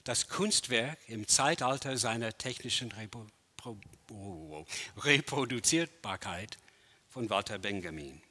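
A man in his sixties, a native English speaker, giving a theatrical lecture performance in a large empty gallery hall, declaiming with dramatic swells and hushed drops. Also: on the low side, lively intonation, a little slow, breathy edge.

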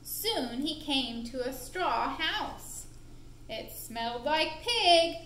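A woman narrating a puppet show for young children in an expressive, deliberate, playful way, recorded in an indoor room. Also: high-pitched. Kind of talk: animated storytelling for young children. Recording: roomy echo with faint background noise.

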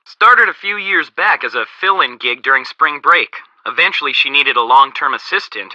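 Wry, awkward young American assistant for a video game; forceful bright tone, slightly muffled lo-fi sound. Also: male voice, a little high, no room sound, exaggerated voice. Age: young adult. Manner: casual, wry, animated. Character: dry, chatty, awkward young male assistant. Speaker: author's assistant. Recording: slightly dull, lo-fi dry game audio.

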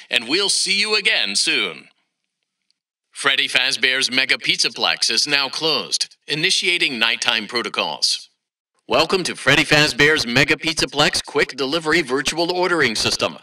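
A man's voice: medium pitch, sharp tone, far from the mic, rough recording. A man voicing a blandly cheerful automated venue announcer in a video game, with a polished, chirpy corporate delivery. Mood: cheerful, official.

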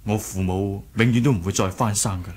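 A man's voice: fairly low pitch, fairly even intonation, brisk, darkish tone, slightly rough. A man playing a kind-hearted, earnest young martial-arts hero in a radio drama, mild, hesitant and sincere. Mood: sorrowful, troubled. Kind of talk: Cantonese radio drama acting, 1980s.

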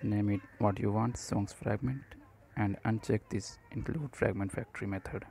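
A man presenting an unscripted screencast coding tutorial in short, halting phrases. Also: on the low side, steady tone, warm tone, a little hiss or hum.

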